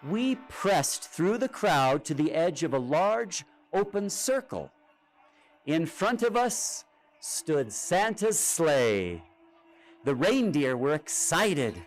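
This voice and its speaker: A man reading a children's storybook aloud in an expressive, dramatic way. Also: high-pitched. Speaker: storybook reader. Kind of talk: scripted children's storybook narration. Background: music and sound effects.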